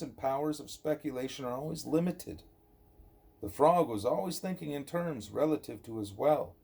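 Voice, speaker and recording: A man reading a scripted spiritual dialogue aloud as an audiobook narrator, deliberate and emphatic on rhetorical questions. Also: fairly high pitch, creaky, dark tone, breathy edge. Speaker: audiobook reader.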